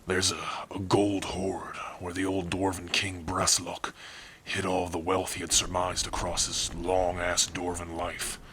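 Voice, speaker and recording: A man playing a protective orc warrior, gruff but tender, in a hushed, halting and intimate ASMR roleplay. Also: exaggerated voice. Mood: wry, grumbling. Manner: slow, hushed, halting, earnest. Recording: faint rain and rumble noise. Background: rain.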